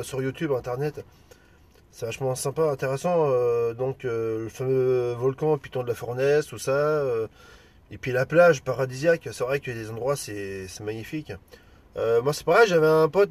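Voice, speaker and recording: A Frenchman chatting casually as an amateur radio operator, in halting, colloquial phrases. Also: medium pitch, a little mellow, no echo.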